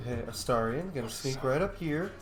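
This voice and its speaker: A man's voice: medium pitch, lively intonation, normal speed, over music. A man giving casual commentary as he plays through a game, in an echoey room with noise behind him. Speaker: gaming video host, player.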